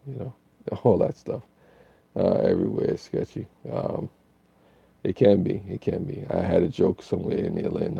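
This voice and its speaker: A man's voice: on the low side, dark tone, muffled recording. A tired man, hosting a laid-back live stream and rambling through chat comments. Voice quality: raspy.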